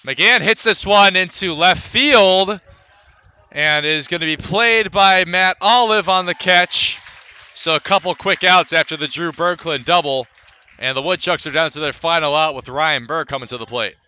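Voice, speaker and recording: A man calling live baseball play-by-play as a radio announcer, conversational between pitches and lifting his delivery for the action. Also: moderate pace, clear, resonant tone. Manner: lifts into excitement on plays, conversational between pitches.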